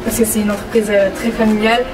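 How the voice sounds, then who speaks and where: A woman's voice: medium pitch, little pitch movement, fast. A woman in her twenties from the Jura giving a casual, hesitant workplace testimony. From Jura, France. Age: 20s. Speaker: company employee giving testimony. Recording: distant mic, background music.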